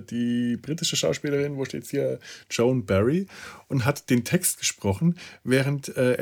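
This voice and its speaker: A man casually explaining trivia in conversation, haltingly, searching for his words as he goes. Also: mid-range voice, a little mellow. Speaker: podcast co-host.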